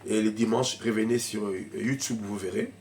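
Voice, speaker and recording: Male pastor, head cold, fervent sermon with loud shouted peaks and hushed mutters. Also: fairly low pitch, steady tone, moderate pace, slight background noise. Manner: swings from shouted preaching to quiet murmuring asides; speaks in tongues in bursts. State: has a cold, coughing.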